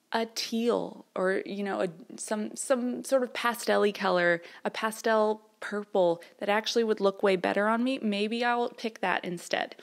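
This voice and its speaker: A woman, chatting casually to camera in a rambling way with fillers and small laughs. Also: medium pitch. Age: 30s. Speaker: vlogger. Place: her mother's house, indoors.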